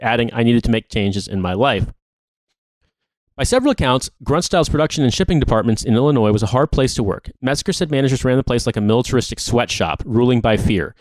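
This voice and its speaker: A man's voice: medium pitch, darkish tone, no echo. A man co-hosting a podcast, reading a long article aloud. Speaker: podcast co-host.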